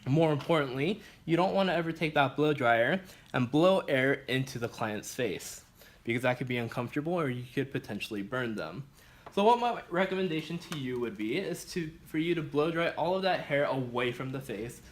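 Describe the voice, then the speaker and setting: A man's voice: mid-range voice, animated, moderate pace, a little hiss or hum. A man teaching as a barbering instructor, explaining a practical demonstration step by step.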